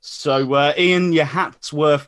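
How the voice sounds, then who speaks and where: A man's voice: on the high side, steady tone, slow pace, a bit bright, no room sound, studio quality. A man hosting an online event from home, speaking informally and unscripted over a video call. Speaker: host of online scratch night. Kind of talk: informal unscripted thanks and announcements.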